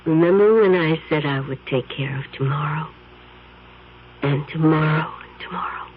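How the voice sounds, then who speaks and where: A woman's voice: low voice, normal speed, slight background noise, natural voice. An actress playing a seductive, scheming witch in a 1970s radio drama, purring and teasing with menace. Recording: dull 1970s radio broadcast.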